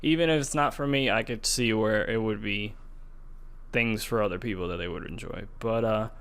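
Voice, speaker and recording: A man's voice: lowish voice, moderate pace, a bit bright, clean tone. A man hosting a podcast, casually discussing gaming news in a conversational way, often restarting his phrases. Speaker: podcast host.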